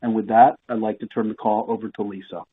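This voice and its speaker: A man's voice: on the low side, level tone, fast talker, slightly rough. A man reading formal, scripted corporate statements as the moderator of an earnings call over a conference phone line.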